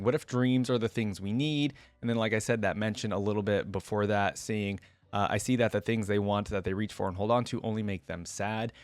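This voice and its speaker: A man's voice: lowish voice, no echo. A young man hosting a reaction video, reading story text aloud and commenting in an informal, musing way.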